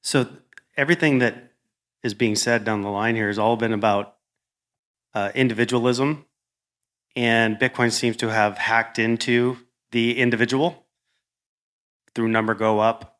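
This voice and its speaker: Bright-voiced man in a panel discussion. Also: mid-range voice, fairly even intonation, a little slow, long pauses. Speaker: panel speaker.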